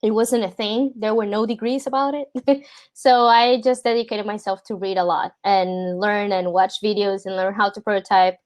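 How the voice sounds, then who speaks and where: A woman's voice: mid-range voice, steady tone, moderate pace. A woman from Puerto Rico whose first language is Spanish, reviewing a design portfolio over a video call in a friendly manner, often laughing.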